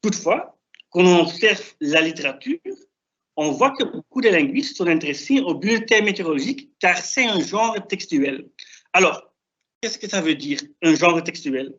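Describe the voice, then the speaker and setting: A young man who is not a native French speaker, with a non-native accent, giving a halting, didactic academic presentation over a video call. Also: high-pitched, animated, a bit bright. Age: young adult. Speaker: doctoral researcher presenting. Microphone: video call, presenting remotely. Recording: dull, band-limited online call audio.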